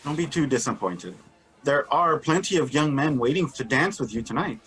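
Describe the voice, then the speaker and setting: An actor playing a genial, eager-to-please wealthy father and host in a radio drama, courteous and placating, with a husky voice. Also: mid-range voice, lively intonation, moderate pace, a bit bright, poor audio. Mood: genial, soothing.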